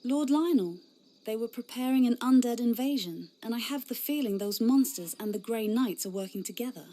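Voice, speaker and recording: A woman's voice: on the high side, moderate pace, dark tone, natural voice. A woman voicing a young, zealous female inquisitor in a game cutscene, speaking in an earnest, formal and fervent way. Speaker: narrator and game character.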